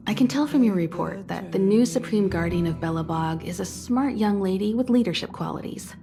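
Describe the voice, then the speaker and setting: Game role: kindly, poised woman mentor to space travellers, played by an actress, reassuring, measured delivery over clear background music. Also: mid-range voice, close to an everyday voice. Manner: poised, reassuring, unhurried explaining. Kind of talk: video game voice acting, measured dialogue. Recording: background music under studio voice.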